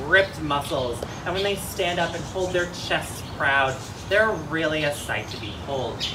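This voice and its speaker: A young man from the United States with an American accent, giving an informal educational animal talk as a zookeeper. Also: on the high side. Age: young adult. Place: outdoor zoo enclosure. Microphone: handheld phone a few steps away. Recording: roomy, distant, noisy outdoor phone recording.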